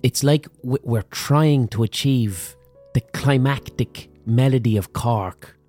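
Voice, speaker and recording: A man's voice: medium pitch, takes it slowly. Middle-aged Limerick man, comic podcast host, swears freely, slips into put-on accents, faint music behind. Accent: Limerick, Irish. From Ireland. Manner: sweary, animated storytelling with mock accents. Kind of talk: comic monologue.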